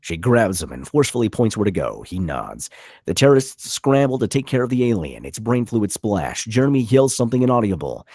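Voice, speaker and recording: Husky, animated male script narrator. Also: on the low side, brisk, dry recording, clean studio sound. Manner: vivid, punchy reading of action. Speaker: narrator reading stage directions. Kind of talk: dramatic script narration at a table read.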